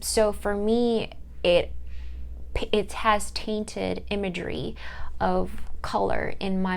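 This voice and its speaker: A young woman whose first language is Italian, giving a reflective personal monologue for a class, halting often to search for words. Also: medium pitch, creaky. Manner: halting, searching for words with many fillers and restarts. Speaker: student.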